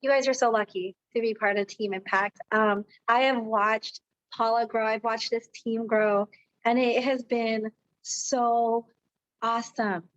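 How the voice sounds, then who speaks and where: High squeaky woman losing her voice, dull audio. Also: unhurried. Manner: excited, peppy, cheerleading. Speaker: guest speaker on a team call. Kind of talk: informal motivational talk. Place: online team call.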